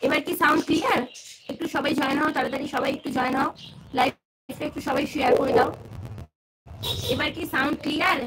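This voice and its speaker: A woman's voice: high voice, fairly even intonation, fast talker, long pauses. A woman hosting a casual devotional livestream, repeating greetings and questions to her viewers. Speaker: livestream host. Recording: clearly noisy livestream audio with clicks.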